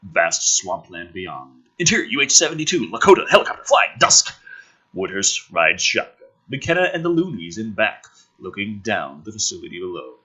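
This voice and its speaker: Sarcastic guy reading a script out loud, high bright voice, kinda raspy, theatrical. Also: very animated, normal speed, low-quality recording. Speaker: host reading and riffing on a film script.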